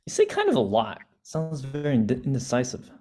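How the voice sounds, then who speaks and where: A woman's voice: rumbling low, huge pitch swings, moderate pace, warm tone, soft background music, heavily acted. A woman reading the part of an awkward, joking teenage boy at a table read, talking hesitantly with stumbles. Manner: hesitant, stumbling, self-deprecating.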